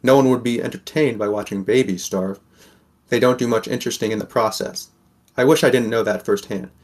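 A man's voice: mid-range voice, steady tone, brisk, lightly acted. A man playing a wary, sardonic sixteen-year-old boy who narrates an audio drama in the first person. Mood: bleak, sardonic.

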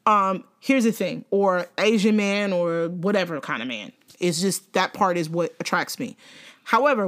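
Animated young woman chatting casually. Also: mid-range voice. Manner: animated, swears freely, laughs often. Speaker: podcast co-host. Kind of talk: casual chat.

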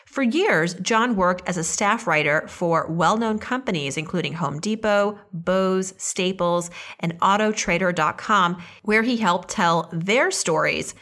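A woman's voice: on the low side, a little slow, hardly any pauses, a bit bright. A woman speaking as a podcast host, introducing and interviewing guests in an upbeat style.

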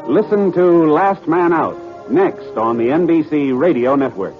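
American radio announcer, tinny vintage broadcast. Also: male voice, a little high, animated, measured pace. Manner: formal, polished delivery. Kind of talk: 1950s scripted radio announcing. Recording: tinny old radio broadcast, loud music behind.

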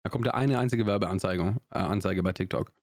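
A man's voice: sits low, brisk, a little mellow, no echo, clean studio sound. A young man from Augsburg with a Swabian accent, co-hosting a podcast in a loose, rambling chat full of fillers and restarts. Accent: Swabian German. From Augsburg, Swabia, Germany. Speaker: podcast co-host. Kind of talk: casual chat.

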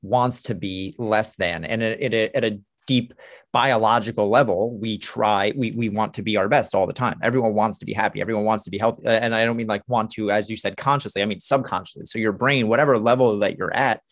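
Low-voiced podcast host, dry sound. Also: male voice, steady tone, a little fast, no room sound. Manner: thoughtful, full of fillers like "like" and "you know". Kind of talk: casual podcast conversation.

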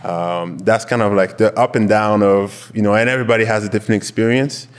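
A young man from Quebec whose first language is French, speaking English with a Quebec French accent as an interview guest, relaxed and candid with many fillers. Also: fairly low pitch, brisk, long reverb tail. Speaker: guest, football player. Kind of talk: casual interview.

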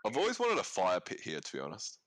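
A man's voice: sits low, huge pitch swings, quick pace, fairly bright tone, no echo. A young man co-hosting a casual podcast, joking around and laughing often. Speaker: podcast co-host.

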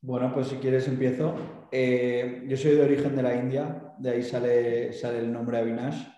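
A man from Spain, a startup co-founder guest on a podcast, explaining in a calm, measured way. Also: mid-range voice. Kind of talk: conversational podcast interview. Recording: distant, echoey, muffled hall sound.

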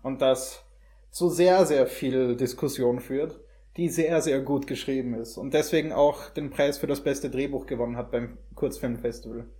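Mellow-voiced young man in casual talk. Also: mid-range voice, steady tone, normal speed. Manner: hesitant phrasing mixed with English slang words. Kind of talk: casual conversational film review.

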